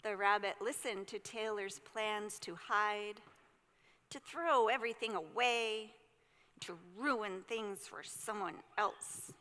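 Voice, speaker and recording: A woman reading a children's story aloud expressively and deliberately, with gentle delivery and dramatic pauses. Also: medium pitch. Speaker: storyteller at a church service. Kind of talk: expressive read-aloud of a children's picture book. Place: church sanctuary. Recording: hall reverb.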